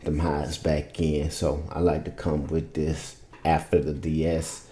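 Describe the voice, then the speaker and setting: A man's voice: very deep voice, flat delivery, a little slow, warm tone. A man explaining his vocal mix settings in a loose, rambling, casual tutorial from a home studio. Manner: laid-back, rambling, loose and informal. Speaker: music producer teaching vocal mixing. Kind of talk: casual screen-share tutorial. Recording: roomy echo.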